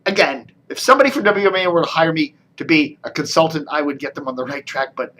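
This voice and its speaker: American male vlogger, slightly high voice, loose off-the-cuff media commentary, wry edge. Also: fast, fairly bright tone. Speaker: video blogger and media commentator. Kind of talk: casual rambling commentary.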